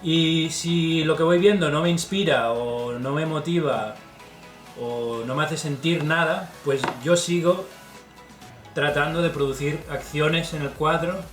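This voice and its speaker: A man's voice: a little high, a little slow, soft background music. Man from Spain with fillers. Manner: relaxed, thoughtful, with many fillers. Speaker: painter. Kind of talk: casual documentary interview.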